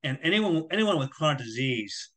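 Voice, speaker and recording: A man in his fifties hosting a show and introducing a guest in a relaxed way from a room at home, over a video call. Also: fairly high pitch, normal speed. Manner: relaxed, with "uh" and "you know" fillers. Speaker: podcast host. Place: home room with a ceiling fan. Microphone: video call webcam.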